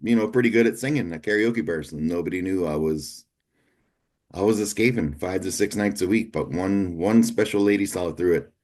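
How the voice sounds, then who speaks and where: Low-voiced man in his forties hosting. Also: normal speed, a little mellow. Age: 40s. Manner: candid, easygoing and confessional. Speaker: podcast host. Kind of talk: casual interview chat.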